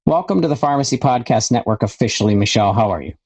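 A man's voice: mid-range voice, expressive, quick pace, darkish tone. Enthusiastic male podcast host. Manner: enthusiastic, welcoming. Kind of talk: casual interview hosting.

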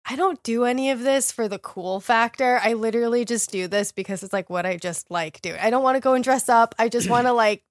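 A woman's voice: fairly high pitch, brisk, few pauses, no room sound, studio quality. A woman in her thirties co-hosting a podcast, talking bluntly with plenty of swearing. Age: 30s. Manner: blunt, profane, matter-of-fact. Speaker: podcast co-host. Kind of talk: casual reality-TV recap chat.

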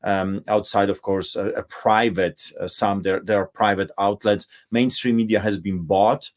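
Deep-voiced Polish-accented man, forceful. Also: steady tone, moderate pace. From Poland. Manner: forceful, emphatic, with frequent uh fillers and restarts. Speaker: pastor, guest. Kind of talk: impassioned interview talk. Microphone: video call.

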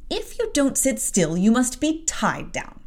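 A woman's voice: sits high, wildly swooping pitch, measured pace, a little mellow, voice pushed up, exaggerated voice. A woman reading aloud and voicing a stern adult female servant who scolds a young girl. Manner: scolding, firm.

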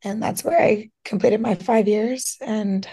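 A young woman in her twenties, a professional softball player, chatting casually as a podcast guest. Her voice is slightly hoarse, and she fills her talk with lots of "like" and "you know". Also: mid-range voice, steady tone, a little slow. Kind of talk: casual interview chat.

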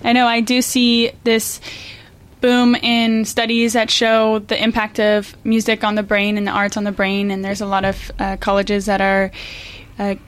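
A woman's voice: medium pitch, completely flat delivery, a little slow. A woman interviewing a guest, enthusiastic and affirming. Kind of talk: interview conversation.

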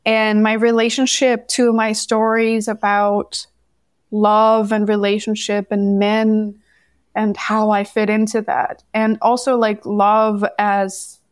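A woman from Denmark, a podcast guest telling her story in a candid, animated way. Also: mid-range voice, slow, clean tone, dry recording, studio quality. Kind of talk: casual interview conversation.

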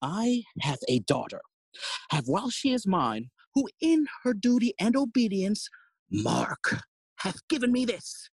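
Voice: mid-range voice, big pitch swings, unhurried, husky, no room sound, exaggerated voice. An actor playing a fussy, long-winded old male counsellor in an audio play, theatrical and forever interrupting their own speech. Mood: pompous, rambling. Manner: rambling, theatrical, self-interrupting. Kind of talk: Shakespearean stage acting in audio play.

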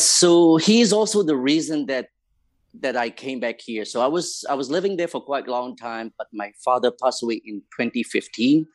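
A man from Myanmar with a Burmese accent, recounting life and history as an interview guest in halting, conversational English phrasing. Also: medium pitch, no echo, poor audio. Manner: hesitant, halting non-native English phrasing. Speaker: interview guest, community leader.

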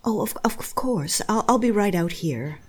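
Anxious defensive pet owner, fretful, halting, husky, mellow tone, animated pitch, audio drama. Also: female voice. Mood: worried.

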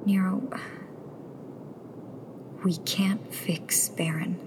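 A woman playing an anxious, sardonic rebel tech in a sci-fi radio drama, halting and breathy with nervous sarcasm. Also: mid-range voice, fairly even intonation, in a whisper. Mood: bleak, weary.

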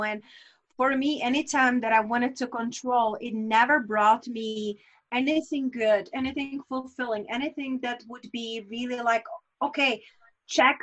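Woman, high voice, earnest and reflective, keeps saying right? and you know. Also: fairly bright tone, muffled recording. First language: Czech. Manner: earnest, reflective, with rhetorical asides. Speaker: guest. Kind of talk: candid personal conversation.

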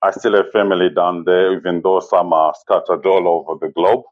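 A man in his forties with a Zimbabwean accent, speaking as an interview guest in a measured, halting way and often saying "you know". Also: low voice, level tone, no room sound, dull audio. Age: 40s. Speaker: interview guest, safari business owner. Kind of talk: conversational interview, personal recollection.

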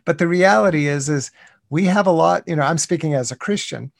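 American man, 60s, gravelly voice, slightly high pitch, dry close sound, earnest and reflective. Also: fairly quick, no room sound. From United States. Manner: thoughtful, earnest, many restarts and "you know". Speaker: guest, contemplative writer. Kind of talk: reflective interview talk.

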